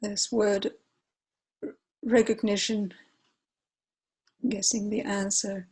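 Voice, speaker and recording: A woman in her forties, a native Finnish speaker with a Finnish accent, walks through a software tutorial while sharing her screen, often pausing with fillers and correcting herself. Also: mid-range voice, flat delivery, breathy edge, rough recording. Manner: unhurried, hesitant, many fillers and self-corrections. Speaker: tutorial presenter.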